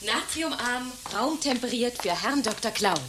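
An actress in a radio satire playing a polite, dutiful office secretary. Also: female voice, a little high, expressive, a little slow. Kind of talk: satirical radio play acting. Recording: roomy, distant, clearly noisy.

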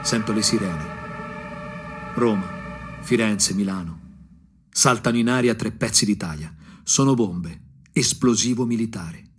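An actor playing a disillusioned provincial ex-punk looking back with bitter irony, in a husky, brooding radio drama monologue with dramatic pauses. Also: male voice, on the low side. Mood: sardonic, brooding. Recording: music playing under the voice. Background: guitar music.

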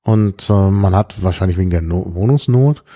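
A man in middle age with a low, dark and relaxed voice. He talks in a casual, anecdotal way, hesitating and often clearing his throat. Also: normal speed. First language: German. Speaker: podcast guest telling about his trip. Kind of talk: casual chat, travel anecdotes.

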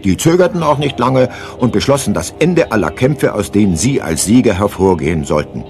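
A man's voice: lowish voice, sing-song, brisk, darkish tone, soft background music. A man narrating a scripted radio play as its storyteller.

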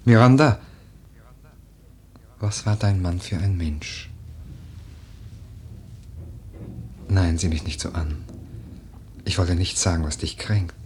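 A melancholy, tender widower of noble birth in a radio play. His voice is low and grave. He speaks earnestly and deliberately, over light background noise. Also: a little mellow. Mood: urgent, searching. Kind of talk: German literary radio play acting.

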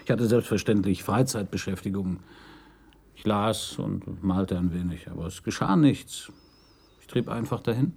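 An actor playing an uneasy, confiding man under police questioning in a German radio crime drama, husky and hesitant. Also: lowish voice, moderate pace, darkish tone. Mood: brooding, confessional.

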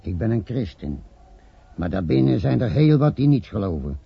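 Gravelly, gloomy pub regular. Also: male voice, medium pitch, big pitch swings, normal speed, no room sound, full character voice. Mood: ominous. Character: gloomy, devout male bar customer. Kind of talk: Dutch radio play acting. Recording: dull, slightly noisy old radio recording.

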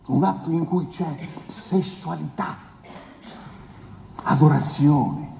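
An actor playing a pompous male defence lawyer in a 1970s trial, pleading with grand, insinuating rhetoric and dramatic pauses in a theatrical stage drama. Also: on the high side, vocal fry, darkish tone. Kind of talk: theatrical courtroom oration. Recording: echoey reverberant hall, noisy background.

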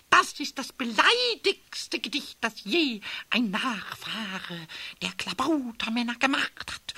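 An actor playing a cheeky, sulky, childlike little male kobold with a squeaky voice and whiny, repetitive speech in a German children's radio play. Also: gravelly, pushed and bright, exaggerated voice. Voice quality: high, squeaky, piercing. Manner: whiny, stammering repetitions, singsong rhyming.

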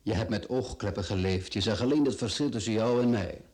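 A man's voice: on the low side, fairly quick, a little mellow, lightly acted. A man acting a ruthless, condescending self-made business tycoon in a radio play, with a Flemish accent and a mocking, commanding way of talking. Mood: persuasive, patronising.

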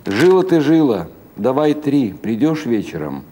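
A male reader puts on a streetwalker woman for a short story, lifting the pitch well up from his own. She is brash and mocking, with a blunt, drawling, familiar way of bargaining. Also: sing-song, measured pace, mellow, slight background noise. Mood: teasing. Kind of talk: literary audio story acting.

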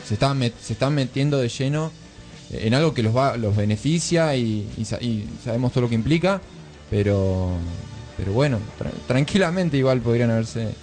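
An Argentine man hosting a casual radio discussion, reflective and often pausing to search for words. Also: medium pitch, animated, darkish tone, faint music behind. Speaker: radio host. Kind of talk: casual chat, political commentary.

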